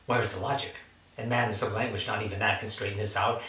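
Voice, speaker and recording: Echoey, bright-voiced man reading dramatic literary work. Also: on the low side, fairly even intonation, fast talker. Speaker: writer reading own work. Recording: echoey room, distant mic.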